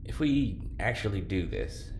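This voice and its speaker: An actor playing a hesitant, conflicted, vulnerable man in an audio drama, speaking in a halting, unsure way. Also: lowish voice, expressive, a little mellow. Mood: tentative. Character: hesitant, conflicted, vulnerable young person, loyal friend. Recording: roomy, faint noise.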